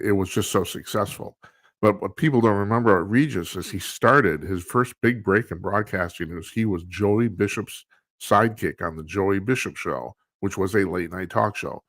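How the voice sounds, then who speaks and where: A man's voice: on the low side, fairly bright tone, dry recording. A man in his sixties hosting a radio talk show, chatting casually to listeners in a rambling, self-deprecating way with many restarts. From United States. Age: 60s.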